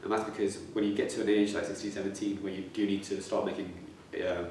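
A man's voice: fairly low pitch, little pitch movement, quick pace, background noise. A man in his twenties who stammers, repeating words and restarting as he answers interview questions reflectively. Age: 20s. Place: plain room indoors. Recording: echoey room, slightly distant mic.

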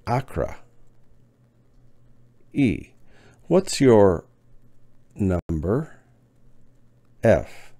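Brief: deep gravelly male teacher, slow and deliberate, lively pitch. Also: dry recording, clean studio sound. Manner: spaced-out words with long pauses, clear enunciation. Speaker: ESL teacher. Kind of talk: slow, deliberate lesson reading.

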